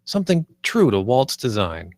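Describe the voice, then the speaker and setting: A man's voice: mid-range voice, sing-song, moderate pace, a little mellow, no echo, natural voice. A man playing an earnest, idealistic theme park planner in a screenplay table read, a little hesitant. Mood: enthusiastic. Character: earnest, idealistic male theme park planner named Marty, nervous, visionary.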